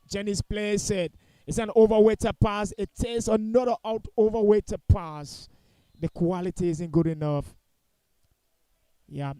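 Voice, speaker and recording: A male football commentator with a high-pitched, mellow voice. He calls the match live and offers blunt, critical opinions, repeating phrases for emphasis. The sound is dry and close. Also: clean tone, no room sound.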